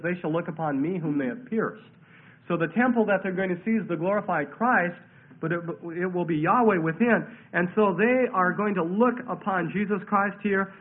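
Through a thin, narrow radio-like band comes a male preacher with a notably high voice. He sounds congested with a head cold. He expounds scripture verse by verse in an emphatic, declamatory way, repeating key phrases. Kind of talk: verse-by-verse Bible sermon.

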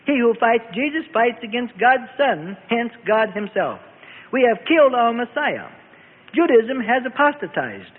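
A man voicing furious religious judges in a dramatized scripture story, shouting with frenzied, fanatical outrage. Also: very high voice, wildly swooping pitch, moderate pace, few pauses, voice pushed up, heavily acted. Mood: outraged, defiant. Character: raging male religious judges screaming for blood. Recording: tinny radio sound, long reverb.

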